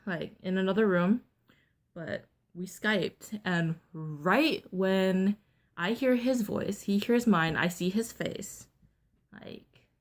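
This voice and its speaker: Young woman, deeper voice than most, blunt, chatty, storytime vlog, middling pitch. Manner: candid, blunt and chatty, with frequent fillers. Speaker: vlogger.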